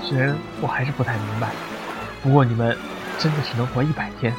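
A man's voice: mid-range voice, a little fast, lightly acted. Actor as a bewildered man in his thirties over music. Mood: earnest. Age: 30s. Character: bewildered thirty-year-old male lawyer, a stranger from another world. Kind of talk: radio drama acting. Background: background music.

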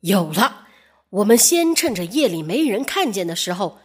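A woman voicing a clever, anxious male carpenter in a storybook, speaking in a hushed, earnest manner. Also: mid-range voice, very animated, moderate pace, slightly rough. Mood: plotting. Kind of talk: storybook character voice.

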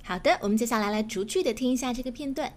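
A woman's voice: a little high, racing, a little hiss or hum. A native Chinese-speaking woman giving a chatty, explanatory English lesson, reading phrases aloud and glossing them. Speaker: English teacher and podcast host.

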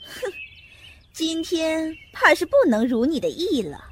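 A woman's voice: very high-pitched, very animated, a little slow, noisy background, exaggerated voice. A woman playing a haughty, scheming, jealous villainess in a Chinese costume audio drama, with theatrical delivery. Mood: taunting, vicious.

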